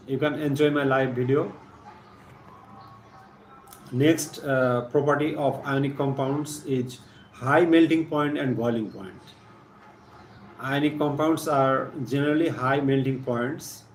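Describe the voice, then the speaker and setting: A man with a Bangladeshi accent giving a live chemistry lesson, partly reading aloud in a halting, deliberate manner, repeating phrases. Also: mid-range voice. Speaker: science teacher hosting a live stream. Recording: echoey room with faint background noise.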